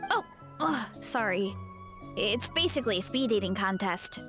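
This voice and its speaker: A woman's voice: medium pitch, animated, normal speed, lightly acted. A woman playing a cheerful, hearty young farm girl in a video game, chatting in a sweet, easygoing way. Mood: chatty, upbeat. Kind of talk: video game character dialogue. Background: music.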